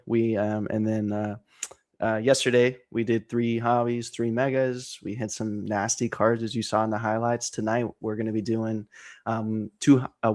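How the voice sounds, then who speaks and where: A man in his twenties, excitable and energetic, chatting casually about card breaks with lots of fillers. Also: medium pitch. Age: 20s. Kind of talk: casual chat on a stream.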